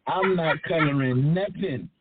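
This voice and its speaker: A man's voice: a little high, a little slow, a loud crowd. Muffled middle-aged man, playful and slangy. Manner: playful, slangy, repeats phrases for emphasis. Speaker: talk show panelist. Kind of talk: casual chat. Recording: muffled, narrow phone-like audio.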